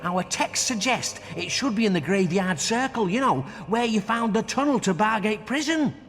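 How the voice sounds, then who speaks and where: An actor playing an eager, excitable scholar in a video game. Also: male voice, high-pitched, moderate pace. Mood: excited. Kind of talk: video game character acting. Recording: long reverberant hall, faint music.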